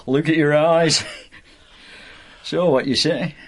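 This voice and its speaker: A man's voice: a little high, steady tone, takes it slowly. An actor in a radio drama playing a sleazy, chummy escort agency boss, with wheedling, rambling salesman patter. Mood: stoned, chummy.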